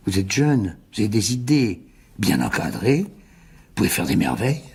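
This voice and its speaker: A wily middle-aged businessman in a radio mystery play. His voice is husky and creaky, with swooping, theatrical intonation. He is unctuous and condescending, with a cowardly streak. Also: on the low side, very animated, normal speed, exaggerated voice. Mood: unctuous, persuasive. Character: wily older businessman, cowardly then smugly blackmailing.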